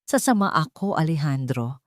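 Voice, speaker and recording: A woman's voice: low voice, expressive, normal speed, dry recording, studio quality. Woman voicing an earnest, determined young heroine. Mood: firm.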